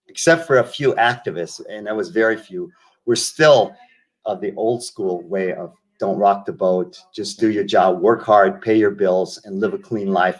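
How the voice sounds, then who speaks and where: An older man from the United States speaks in a lowish voice as an interview guest. He tells his stories slowly and reflectively, doubling back and restarting his sentences. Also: low-quality recording. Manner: reflective storyteller, many restarts and asides. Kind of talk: casual interview conversation.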